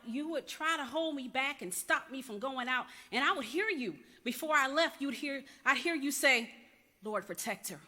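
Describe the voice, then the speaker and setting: A woman's voice: high voice, sharp tone, pitched above the performer's own voice, exaggerated voice. A woman performing a dramatic church monologue as a rebellious young niece confessing to her aunt, in an emphatic, repetitive storytelling cadence. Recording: echoey hall with long reverb.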